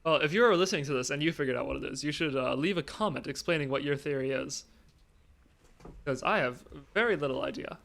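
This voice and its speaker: A man with a slightly high voice hosts a podcast. He talks in a casual, conversational way, responding to his guests. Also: fast, creaky, fairly bright tone. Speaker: podcast host. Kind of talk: casual chat.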